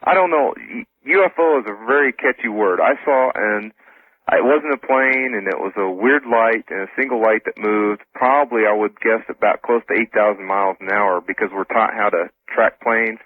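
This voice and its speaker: A man's voice: lowish voice, normal speed, no room sound. A man answering casually as a guest in a radio interview. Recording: tinny narrow phone line.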